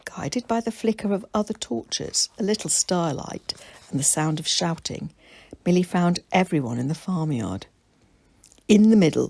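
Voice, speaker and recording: A woman reads a story for children in a lowish, husky voice. It is scripted storybook narration, captured close and dry. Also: darkish tone, no echo. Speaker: author reading own children's story.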